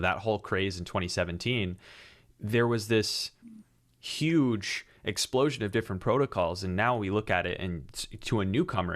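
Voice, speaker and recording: A man with a very low voice hosts an interview. He talks in a loose, chatty way and asks his guest broad, open questions. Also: fairly bright tone. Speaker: channel host, interviewer. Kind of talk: casual interview hosting.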